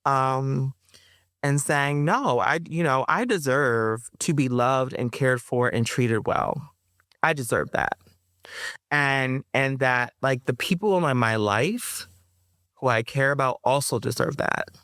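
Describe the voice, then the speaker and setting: Smooth-voiced woman guest, chatty and animated, lots of um and you know, dry close sound. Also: a bass voice, slow pace, no room sound. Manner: animated, chatty, addresses listeners as y'all; frequent fillers and laughter. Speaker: interview guest, playwright. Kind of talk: casual interview conversation.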